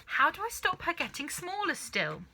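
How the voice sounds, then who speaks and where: A woman's voice: extremely high pitch, wildly swooping pitch, moderate pace, bright, forceful tone, slight background noise, pitched above the performer's own voice, heavily acted. A woman reading a children's storybook, voicing a panicky wife and mother who cries out and wails. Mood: anxious wailing. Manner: crying out, wailing, shrieking. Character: frantic wailing wife and mother, easily panicked.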